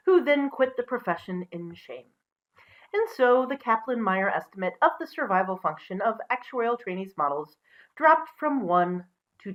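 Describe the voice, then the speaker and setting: A woman presenting as a storyteller, mixing expressive storybook reading with casual asides. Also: on the high side, dull audio.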